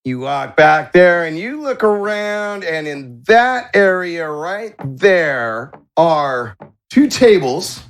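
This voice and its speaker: Animated, higher-pitched man as game master. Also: slow, clean tone. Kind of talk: narrating a tabletop role-playing game.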